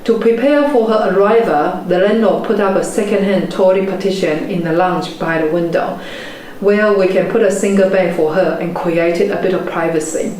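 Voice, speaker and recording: A woman's voice: mid-range voice, a little mellow. Chinese-accented woman in an echoey room. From China. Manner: measured, earnest storytelling delivery. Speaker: property investment mentor. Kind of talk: motivational webinar talk. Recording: very echoey room, faint background noise.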